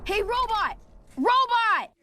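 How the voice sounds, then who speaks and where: Cocky cartoon kid hero, piercingly high, urgent, exclaiming, very loud music behind. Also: female voice, sing-song, slow pace, heavily acted. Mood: defiant. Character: plucky, cocky cool kid sidekick. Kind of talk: energetic cartoon fandub acting.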